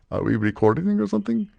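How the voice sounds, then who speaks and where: A man's voice: high voice, gravelly, darkish tone, dry recording, lo-fi sound. A man who is a voice actor, chatting between takes and trying out game lines in a recording session. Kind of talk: studio session outtakes, reading game lines.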